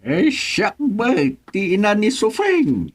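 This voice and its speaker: An actor playing an elderly, teasing, easily startled village grandparent in an Ilocano radio comedy drama, with a husky voice. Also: medium pitch, very animated, slow.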